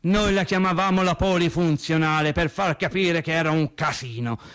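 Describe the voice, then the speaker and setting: A man's voice: fairly high pitch, moderate pace, a bit bright, clear, resonant tone, lightly acted. A man with a Tuscan accent playing an embittered, rambling factory worker in a theatrical monologue, correcting himself and repeating phrases as he goes. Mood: wry, uneasy recollection. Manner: digressive, self-correcting, repeating phrases for emphasis. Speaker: actor in a stage monologue for radio theatre. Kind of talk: theatrical monologue, colloquial storytelling.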